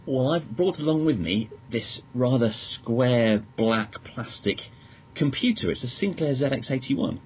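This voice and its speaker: A man's voice: medium pitch, animated, a little slow, husky. A man chatting politely and a little hesitantly as an interview guest. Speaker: podcast guest, magazine editor. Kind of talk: polite casual interview chat. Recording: dull lo-fi recording, background noise.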